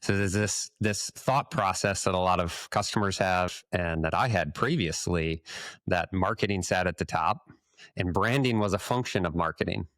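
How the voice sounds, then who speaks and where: Deep-voiced man, very dry sound. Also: moderate pace, fairly bright tone, no room sound. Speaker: podcast co-host. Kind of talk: conversational business podcast.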